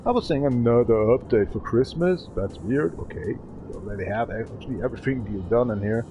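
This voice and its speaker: A man's voice: medium pitch, lively intonation, a little fast, muffled recording, over music. A man in his thirties streaming a game, rambling and swearing in a frustrated way. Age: 30s. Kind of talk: casual gaming stream chat.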